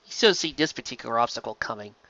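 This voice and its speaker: Woman reading a story, very animated, bright voice, dry slightly muffled home recording. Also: rumbling low, very fast, no echo. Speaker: narrator. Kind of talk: expressive fan fiction reading. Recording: dull lo-fi close dry recording.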